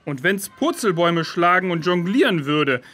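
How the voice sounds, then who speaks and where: An actor playing a grim, bossy pool cashier in a children's audio drama. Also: male voice, sits high, very animated, normal speed, a bit bright, heavily acted. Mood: stern, angry.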